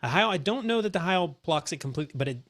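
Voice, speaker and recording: A man hosting a casual roundtable chat, talking in an animated, emphatic, opinionated way into a dynamic mic pointed up at his face. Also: high voice, rapid-fire. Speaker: host.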